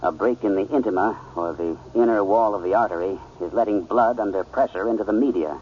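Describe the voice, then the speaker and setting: An actor playing a composed surgeon who lectures clinically and with measured authority in a 1970s radio drama. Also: male voice, on the low side, a little mellow, lightly acted. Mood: grave, focused. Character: composed male surgeon lecturing while operating. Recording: noisy, dull old radio broadcast.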